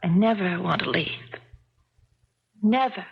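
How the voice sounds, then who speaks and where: Breathy, wonderstruck suburban housewife. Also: female voice, on the low side, heavily acted. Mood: blissful. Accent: American. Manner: hesitant, breathy, hushed phrasing with pauses. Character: wonderstruck middle-aged suburban housewife, earnest and dreamy. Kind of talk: 1970s radio drama acting. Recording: muffled old 1970s radio recording.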